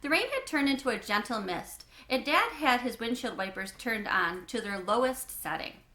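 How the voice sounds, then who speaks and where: Bright, high-voiced woman reading to children. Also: big pitch swings, moderate pace, roomy sound. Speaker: read-aloud host. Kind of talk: expressive children's book narration.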